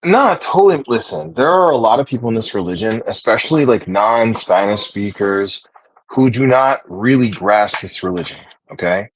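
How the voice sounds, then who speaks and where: A man with a fairly low voice talks casually and rambles, full of fillers and restarts. The recording is dry and a little dull, with no room sound. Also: lively intonation, normal speed, dry recording. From Puerto Rican heritage, raised speaking English. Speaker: podcast host. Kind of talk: casual conversation.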